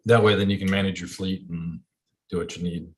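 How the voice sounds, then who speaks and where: A man's voice: low voice, fairly even intonation, fairly quick, slight background noise. A man speaking informally and directly as a school board member in a meeting discussion. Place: indoor board meeting room.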